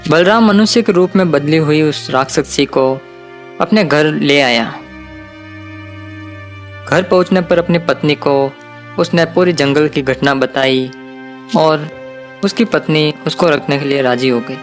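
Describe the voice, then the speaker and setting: A man's voice: a little high, a little slow, long pauses, faint music behind. A man narrating a scripted cartoon folk tale for children as storyteller.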